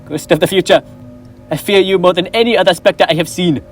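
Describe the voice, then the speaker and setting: A man's voice: high-pitched, fairly even intonation, brisk, faint music behind, lightly acted. A young man playing old Scrooge, pleading. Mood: fearful. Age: teens. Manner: earnest, imploring. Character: old male miser Scrooge, fearful and pleading. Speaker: stage actor. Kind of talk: school stage play.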